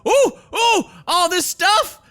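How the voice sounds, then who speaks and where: A theatrical, excitable man streaming a horror game, switching between dramatic read-aloud and jokey casual commentary. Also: very high-pitched, slow, no echo. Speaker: gaming streamer. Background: game music.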